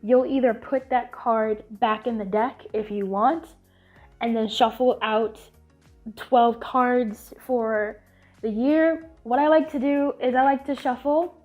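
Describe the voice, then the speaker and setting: Woman, sniffly congested voice, rambling casual chat, tinny phone-like sound. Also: a little high. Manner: chatty and rambling, with fillers and restarts. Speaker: channel host.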